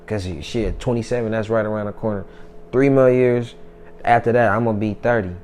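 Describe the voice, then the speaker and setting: Low flat-voiced man in his twenties. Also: a little fast, slight background noise. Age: 20s. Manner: loose, profane, boastful street talk. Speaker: rapper guest. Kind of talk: casual interview talk.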